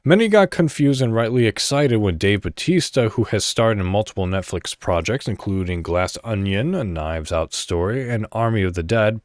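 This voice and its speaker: A man with a fairly low voice reads written news copy aloud in the manner of a solo podcast host. The delivery is casual, with small stumbles that he corrects as he goes, and the sound is dry and close. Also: darkish tone, no room sound. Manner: stumbles over words and corrects himself. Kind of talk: reading news articles aloud with casual commentary.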